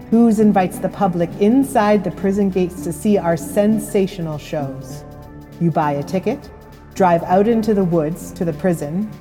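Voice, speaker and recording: A woman's voice: on the low side, dark tone, in a large hall. A woman hosting, giving a scripted podcast introduction. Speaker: host.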